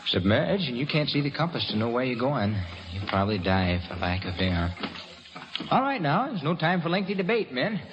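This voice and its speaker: A man acts out a gritty young soldier at the controls of a crude submarine. He talks to himself, urging his own body on in old radio drama style. The recording is muffled and clearly noisy, with a medium-pitched voice. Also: very animated, normal speed, natural voice. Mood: resolute, wry.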